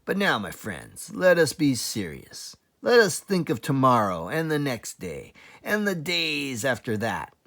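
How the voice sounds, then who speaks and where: A man's voice: mid-range voice, wildly swooping pitch, measured pace, hardly any pauses, fairly bright tone, pitched above the performer's own voice, heavily acted. A man voicing a grinning, rousing fox leader who makes emphatic, theatrical speeches in a children's storybook. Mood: grand, hearty. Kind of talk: children's storybook read-aloud.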